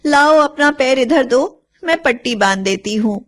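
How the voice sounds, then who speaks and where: A woman voicing a lonely, caring and anxious village mother, plaintive and expressive, in an animated moral story. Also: sits high, moderate pace. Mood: sorrowful, caring.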